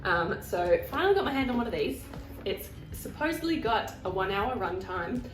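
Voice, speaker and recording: A woman's voice: mid-range voice, very animated, moderate pace, reverberant, distant mic, soft background music, low-quality recording. A woman with a cold and a nasal voice, chatting casually about her shopping haul, rambling and poking fun at herself. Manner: chatty and rambling, self-deprecating. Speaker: vlogger.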